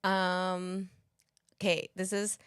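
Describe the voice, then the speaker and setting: A woman's voice: fairly low pitch, flat delivery, slow, fairly bright tone, smooth, no room sound, studio quality. A woman from Ecuador co-hosting a podcast, chatting and telling stories with frequent giggles. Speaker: podcast co-host. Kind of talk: casual podcast chat.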